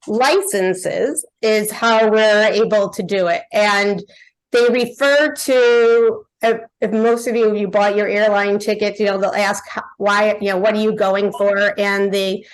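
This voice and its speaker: A woman's voice: mid-range voice, rough recording. A woman hosting an orientation call for a group trip, talking casually with lots of fillers and restarts and laughing often.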